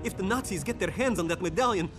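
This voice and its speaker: A shaken young male scholar, pleading in a high voice, from a shooter video game. Loud game music runs under him. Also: lively intonation, fast talker. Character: frightened young male occult scholar captive.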